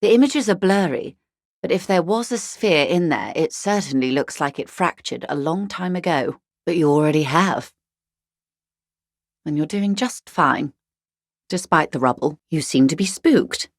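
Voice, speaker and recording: An actress playing a refined, middle-aged, wealthy woman in a video game, speaking in a measured, eloquent, world-weary and wry manner. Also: low voice, no room sound, studio quality. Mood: gentle, explanatory. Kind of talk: scripted video game dialogue.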